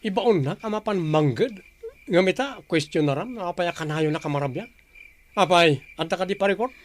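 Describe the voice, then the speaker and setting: Surly, irritable young husband. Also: male voice, high-pitched, lively intonation, moderate pace. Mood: irritated, defensive. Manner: curt, surly. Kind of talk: radio drama acting.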